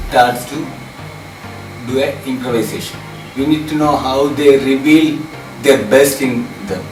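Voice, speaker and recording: Indian male acting trainer, mid-pitched, halting and repetitive phrasing, informal explainer, music clearly behind. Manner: halting, repetitive non-native English phrasing. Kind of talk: informal spoken explanation to camera. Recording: roomy, distant mic, music behind.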